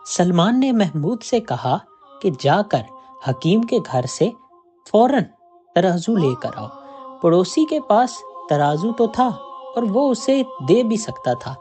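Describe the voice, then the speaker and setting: An expressive storyteller narrates a scripted moral tale with a lively, moving melody. The pitch sits in a middle range, and music plays softly behind the voice. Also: slow. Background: background music.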